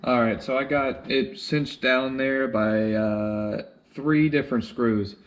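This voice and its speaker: A man in his twenties talking casually through a hands-on DIY job, often halting and searching for words. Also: medium pitch, fairly even intonation, dull audio. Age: 20s. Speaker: DIY vlogger. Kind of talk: casual how-to narration while working. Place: indoors: vehicle cab in a shed, later a basement room. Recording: clearly noisy camera audio with clicks.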